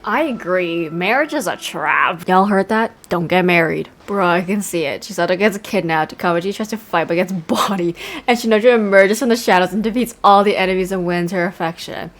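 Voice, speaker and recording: Playful, lowish-voiced young woman gaming streamer. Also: fairly quick, few pauses. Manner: playful, teasing, theatrical. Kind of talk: casual joking playthrough commentary.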